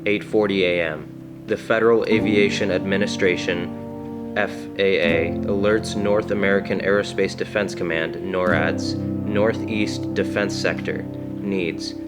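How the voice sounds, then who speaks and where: A man reading documentary narration in a steady, formal way. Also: low voice, a little slow, loud music behind the voice. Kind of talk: scripted narration.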